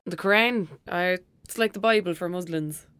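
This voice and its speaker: A woman's voice: on the low side, normal speed, fairly bright tone, smooth, no echo, close to an everyday voice. A woman playing a blunt, sceptical young girl with an Irish accent in an audio drama, speaking in a casual, colloquial way. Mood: hesitant, flustered. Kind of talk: naturalistic audio drama acting.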